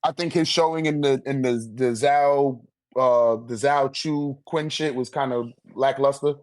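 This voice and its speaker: A man chatting casually in an animated, slangy manner with heavy swearing, as a guest in a friendly debate. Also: mid-range voice, a little slow. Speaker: guest debating a manga tier list. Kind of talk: casual chat.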